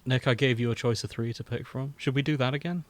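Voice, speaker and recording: A man's voice: medium pitch, steady tone, fast, no room sound. A man co-hosting a casual podcast, with a soothing voice, leading the chat with jokes. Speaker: podcast co-host.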